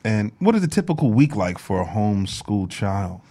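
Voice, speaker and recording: A man's voice: fairly low pitch, very animated, normal speed, mellow. A man co-hosting a radio show with casual banter. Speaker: radio co-host.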